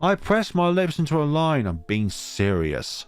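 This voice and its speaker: A man's voice: fairly high pitch, very animated, unhurried, smooth, no echo, faint music behind. A man reading a visual novel aloud as a let's-play narrator, expressively, with asides of commentary.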